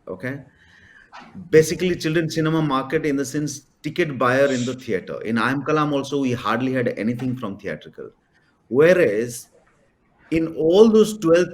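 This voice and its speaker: A man from India, a filmmaker on a panel, explaining his views in an earnest way. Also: mid-range voice. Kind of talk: panel discussion.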